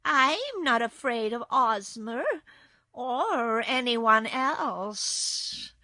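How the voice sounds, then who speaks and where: A woman's voice: fairly high pitch, expressive, pushed and bright, dry recording, voice pushed up, exaggerated voice. A woman voicing a surly, defiant young female kitten with a snarling, insolent manner in a storybook reading. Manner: slow, snarling, insolent delivery. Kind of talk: storybook character voice.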